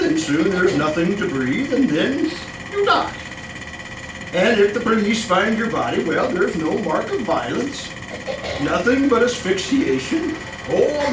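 A man's voice: sits high, noisy background, exaggerated voice. An actor playing a sly old male murderer in a theatrical old-time radio horror style, drawing out and repeating his words. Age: older. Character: gloating old male murderer, sly, cackling, cowardly when cornered. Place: live stage performance. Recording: roomy distant stage recording, loud music.

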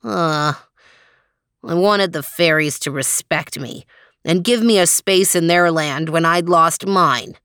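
A woman doing a deep, growling storybook troll. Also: fairly bright tone, no echo, studio quality. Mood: dejected. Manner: slow, menacing demands. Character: gruff male troll, a hedgehog in disguise. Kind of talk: storybook reading.

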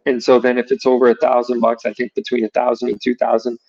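A man's voice: mid-range voice, monotone, fast talker, a little mellow, no room sound, muffled recording. A man chatting casually as an interview guest, explaining things and acting out bits of imagined dialogue. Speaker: gun shop owner, podcast guest.

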